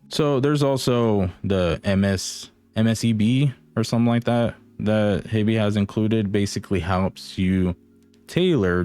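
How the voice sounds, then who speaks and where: A man's voice: lowish voice, a little mellow, no echo. A man giving a casual gadget review, talking straight to viewers in an informal, slangy, playfully teasing way. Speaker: product reviewer.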